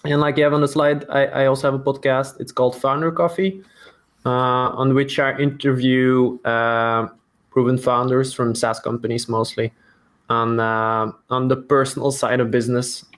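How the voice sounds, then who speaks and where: A man gives an explanatory business talk as a podcast guest. His delivery is flat in pitch and measured, with hesitant phrasing. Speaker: podcast guest, startup founder.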